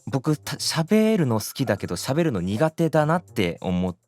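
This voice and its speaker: A man's voice: medium pitch, big pitch swings, normal speed, a little mellow, faint music behind. A man speaking politely and deferentially in casual chat as a guest on a podcast. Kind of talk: casual chat, answering the host.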